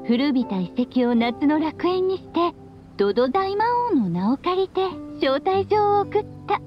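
A doting anime-game witch mother with a high voice. She tells stories tenderly and slips in playful teasing. The sound is slightly muffled, with music underneath. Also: big pitch swings, measured pace. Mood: tender, nostalgic. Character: playful, loving, long-lived witch mother addressing her child. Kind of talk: Japanese anime game voice acting. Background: background music.

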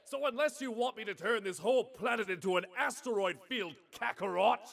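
An actor playing a vain, menacing monster villain in a parody anime dub, with theatrical, sardonic, drawn-out phrasing. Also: male voice, high voice, gravelly, fairly bright tone. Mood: indignant, menacing.

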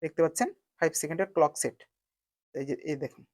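A woman's voice: deep voice, fast, darkish tone, dry recording. Woman narrating a tutorial, dry close sound. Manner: instructional, repetitive step-by-step phrasing. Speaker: video editing tutorial host. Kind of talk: step-by-step software tutorial narration.

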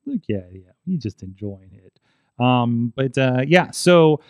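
A man's voice: medium pitch, animated, takes it slowly, no echo. Man, forties, podcast host, rambling casual talk, dead dry studio sound. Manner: rambling, many fillers and restarts. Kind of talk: casual tech chat. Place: small studio with foam panels. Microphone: studio mic on boom arm, headphones.

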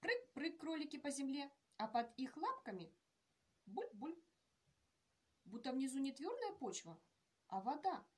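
Gentle woman reading a fairy tale aloud. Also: high-pitched, big pitch swings. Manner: gentle, measured storytelling. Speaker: author reading her own tale. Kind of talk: children's story reading. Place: indoor room with painted canvases.

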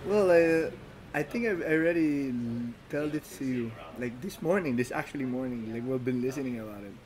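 A man from the Philippines, speaking as a non-native speaker, talks casually as a podcast guest, halting with many restarts and fillers. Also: mid-range voice, animated, a little mellow, low-quality recording. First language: Filipino languages. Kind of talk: casual chat.

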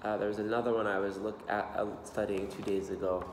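A man in his thirties with an American accent, practicing a new language aloud at his desk, repeating words slowly and haltingly. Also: fairly low pitch, flat delivery, slight background noise. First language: English. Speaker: language learner. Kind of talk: self-study vlog, reading phrases aloud. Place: small room indoors. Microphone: laptop webcam at desk. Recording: roomy echo with long reverb.

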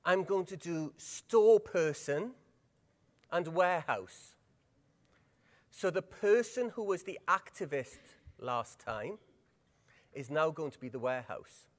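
A Welsh man in middle age presents a workshop at a conference, his voice sitting a little high. He speaks in a lively, engaging way and keeps asking the audience questions. His voice rings out with the long reverb of a large hall. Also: takes it slowly, long pauses.

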